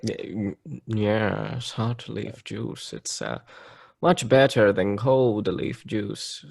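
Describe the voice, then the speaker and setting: A man's voice: lowish voice, drawn-out words, creaky, darkish tone, slightly rough, dry recording. A young man co-hosting a casual podcast, trading dry, playful, mock-serious banter. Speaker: podcast co-host. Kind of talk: casual chat.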